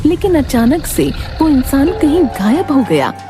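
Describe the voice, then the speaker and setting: Unhurried, expressive woman narrating a tale. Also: high-pitched. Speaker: story narrator. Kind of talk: dramatic scripted narration of a children's cartoon tale. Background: music.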